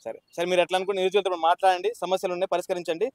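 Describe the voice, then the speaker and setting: A man's voice: sits high, little pitch movement, rapid-fire. A young man with a Telangana Telugu accent, an activist arguing insistently and challengingly, often repeating himself. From Nalgonda district, Telangana, India. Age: young adult. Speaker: activist for unemployed job seekers. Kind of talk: heated argument and appeal.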